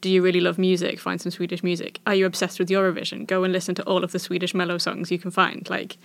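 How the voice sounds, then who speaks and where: A woman from England chatting on a podcast panel, giving brisk, friendly tips. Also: lowish voice, monotone, gravelly, no echo. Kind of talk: casual podcast chat.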